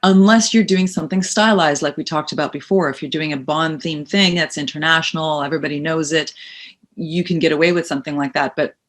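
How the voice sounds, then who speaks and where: A woman's voice: deep voice, fairly even intonation, fairly quick. A middle-aged woman, a voice-over coach and actress, answering interview questions with emphatic, practical advice. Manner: practical, emphatic, uses second person advice.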